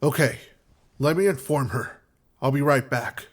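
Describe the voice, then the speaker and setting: A man's voice: medium pitch, a little slow, close to an everyday voice. A man playing an anxious, caring space crewman in a sci-fi audio drama, speaking in an earnest, worried way. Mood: questioning.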